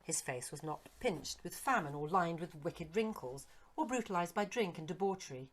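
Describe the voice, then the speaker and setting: Lower-pitched woman reading a story. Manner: expressive, literary storytelling. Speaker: narrator, audiobook reader. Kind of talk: scripted narration of a Victorian horror story.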